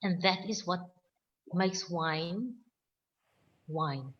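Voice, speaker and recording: A South African woman winemaker answering questions on a video call, speaking slowly and with firm emphasis. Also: lowish voice, muffled recording. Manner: slow, deliberate, emphatic. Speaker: winemaker guest.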